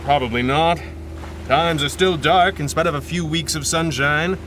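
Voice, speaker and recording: An actor playing a seasoned masked hero and devoted husband, measured, articulate and dryly understated, in a 1930s pulp radio drama style. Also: male voice, on the high side, background noise, lightly acted. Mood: reflective, earnest. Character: seasoned masked male adventurer, calm, dry-witted, devoted husband.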